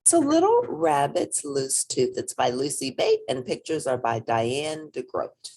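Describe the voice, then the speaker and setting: A woman's voice: very deep voice, big pitch swings, measured pace, dark tone, a little hiss or hum. A woman reading a children's storybook aloud expressively, speaking directly to young listeners. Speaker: storybook reader.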